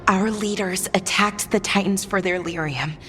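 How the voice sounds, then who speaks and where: A woman's voice: medium pitch, moderate pace, sharp tone, a little hiss or hum, close to an everyday voice. A woman voicing an earnest, knowledgeable elf in a fantasy video game cutscene. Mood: earnest, troubled.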